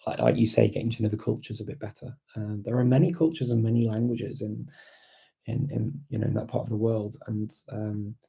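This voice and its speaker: Husky, dark-voiced man chatting. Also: fairly low pitch, steady tone, a little fast. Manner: hesitant, reflective, repeats words while thinking. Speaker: livestream host and language learner. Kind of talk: casual live chat, thinking aloud.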